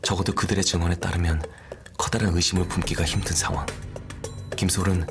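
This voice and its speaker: A man acting a calm criminal profiler in an audio drama, speaking in a measured, grave, deliberate way. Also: low voice. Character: calm, brooding male criminal profiler working a murder case. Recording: music bed under the voice. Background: background music.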